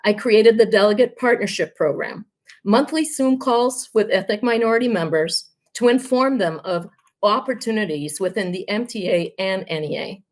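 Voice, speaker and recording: A woman's voice: mid-range voice, normal speed. A woman from Puerto Rico, a native Spanish speaker, giving a prepared campaign speech as a union candidate in an earnest, emphatic manner. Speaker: union candidate, high school Spanish teacher.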